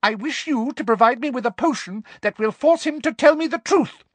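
A man voicing a furious middle-aged witch headmistress in a high, sweetly girlish voice that rises to shrieks. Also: sing-song, measured pace, fairly bright tone, no echo, full character voice. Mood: shrill fury.

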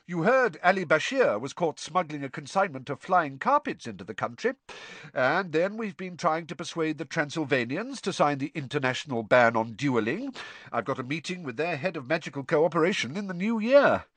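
A pompous, self-important young official, pitched well above the reader's own voice, as a man puts him on. He talks up his own importance in a lively, sing-song way. The recording is dry and close. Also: normal speed, no room sound.

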